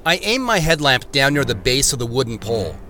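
Bright, animated mystery narrator. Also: male voice, mid-range voice. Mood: intent, suspenseful. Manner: measured, descriptive storytelling. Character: male first-person narrator of a mysterious night search. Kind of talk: scripted audio drama narration.

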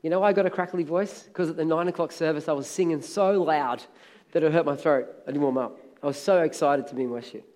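A middle-aged pastor with a hoarse, crackly voice, preaching a sermon with energetic, emphatic repetition. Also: male voice, a little high, fast talker.

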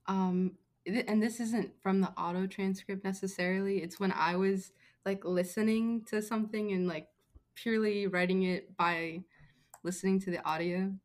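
A woman's voice: mid-range voice, fairly even intonation, moderate pace. A woman chatting casually as a member of a podcast team. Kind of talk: casual chat.

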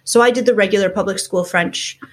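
A woman from Canada in her forties, a teacher being interviewed, explaining things in a measured, frank way. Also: mid-range voice, breathy edge. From Canada, small towns around Toronto. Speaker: guest, teacher and school founder. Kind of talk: casual interview chat.

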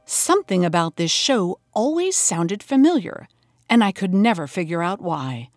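A woman's voice: lowish voice, very animated, moderate pace, no echo, clean studio sound, faint music behind. A woman hosting an investigative podcast, narrating and interviewing in an earnest, dramatically pondering way. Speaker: host and interviewer.

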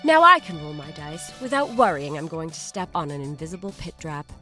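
A woman reading a comedy promo with playful, mock-flustered timing. Her fairly low voice leaps high and drops low, and faint music plays underneath. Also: lively intonation, a little fast, bright, forceful tone. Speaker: podcast promo reader. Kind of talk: comic scripted ad read.

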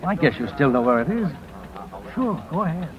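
Wry male newspaper colleague, fast talk, slightly high pitch, old radio drama. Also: very animated, crowd noise. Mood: wry, resigned. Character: dry, matter-of-fact male newspaperman colleague, resigned. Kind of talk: 1950s radio drama acting. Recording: dull vintage radio broadcast.

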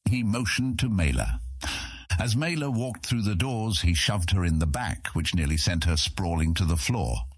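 A man's voice: sits low, lively intonation, normal speed, slightly rough, no room sound, studio quality. A man narrating a fantasy audiobook in a dramatic, scripted storytelling style.

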